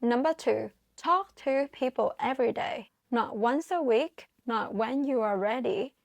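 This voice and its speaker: A young woman speaks English as a learned language, with a non-native accent and an earnest, encouraging way of addressing viewers. Her voice sits a little high. The recording is dry and close, with no room sound around it. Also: a little slow, vocal fry, no room sound. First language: non-English, learned English at school. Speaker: vlogger giving advice to migrants. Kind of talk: scripted advice monologue.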